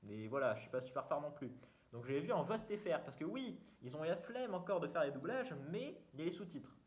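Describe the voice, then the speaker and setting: A man's voice: a little high, big pitch swings, fast talker. A young man, hosting a casual vlog in a rambling, jokey way, full of fillers and restarts. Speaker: YouTuber. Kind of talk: casual self-mocking vlog.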